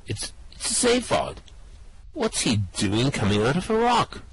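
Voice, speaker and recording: A man's voice: mid-range voice, wildly swooping pitch, a little slow, fairly bright tone, husky, full character voice, low-quality recording. A man voicing an anxious ordinary Earthman in an amateur radio play reading, nervously describing what he sees. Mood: worried.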